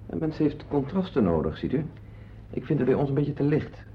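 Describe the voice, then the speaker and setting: An actor playing a self-important, opinionated husband in a radio drama, confiding in listeners in a wordy way and hesitant with strangers. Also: male voice, medium pitch, sing-song, fairly quick, slight background noise. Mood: polite, appreciative. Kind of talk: radio drama acting with narrated asides. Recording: dull, lo-fi old radio play recording.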